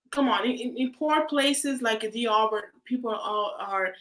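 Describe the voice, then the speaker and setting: A woman's voice: a little high, takes it slowly, fairly bright tone, poor audio. A woman host, chatting casually on a video call, often laughing and restarting her words. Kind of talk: casual live chat.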